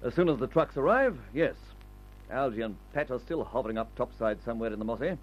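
An actor playing a brisk, decisive leader of airmen, giving instructions with calm authority in an old radio adventure serial. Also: male voice, mid-range voice, close to an everyday voice. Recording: dull old radio recording.

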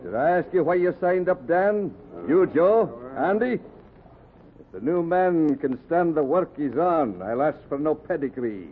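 Brief: whaling skipper, commanding and fair, lively highish voice, period radio drama on a thin noisy recording. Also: male voice, unhurried. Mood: brisk, challenging. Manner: commanding, old-fashioned sailor speech. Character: brisk, fair-minded whaling ship skipper, loyal to crew. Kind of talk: historical radio drama acting. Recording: narrow phone-like old recording, light noise.

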